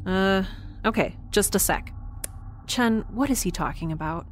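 A woman's voice: mid-range voice, animated, a little slow, slight background noise, close to an everyday voice. A woman playing a sarcastic, defiant young spaceship dweller in an audio drama, with a flippant, casual delivery. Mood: puzzled.

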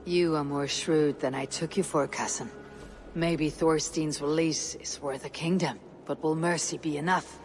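A woman's voice: deep voice, fairly even intonation, a little slow, darkish tone, faint music behind. A woman playing a bold, blunt, resolute female Viking warrior in a dramatic video game cutscene. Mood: firm, resolute. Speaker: game protagonist.

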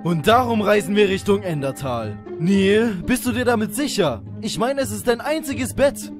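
An earnest young cartoon trainer played by a man, eager and dramatic. The voice is high and lively, with exclamations. Music is clearly audible behind it. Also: moderate pace. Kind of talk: cartoon fandub acting.

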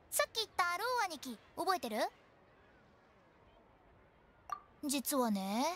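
A woman's voice: high voice, a bit bright. A woman playing a mischievous, cheeky teenage girl funeral director in an anime game, with playful, theatrical sales patter. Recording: music behind the voice.

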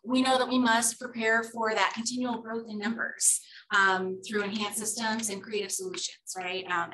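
A woman presenting program data at a school board meeting, steady and measured, with frequent ums. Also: medium pitch, low-quality recording. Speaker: school district English learner program presenter.

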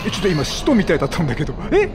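High-pitched, animated male game streamer. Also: very fast, creaky. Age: middle-aged. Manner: chatty, reactive, repeats phrases. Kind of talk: excitable Let's Play commentary. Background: game music.

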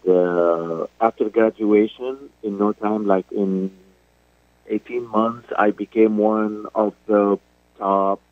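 A middle-aged man with a Syrian Arabic accent, speaking as an actor guest in a radio interview, slow and halting with many fillers and restarts. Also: on the low side, no room sound. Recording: dry phone-line call.

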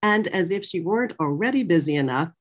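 A middle-aged woman moderating an online talk from a small office, in a conversational, animated manner. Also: lowish voice, quick pace. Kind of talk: prepared introduction and conversational interview. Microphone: video call.

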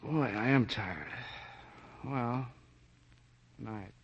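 A man's voice: medium pitch, extremely slow, slightly rough, heavily acted. An actor playing a weary, plain-spoken American policeman, awkwardly smitten, in a 1940s radio drama. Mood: wistful, smitten. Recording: dull old 1940s radio recording.

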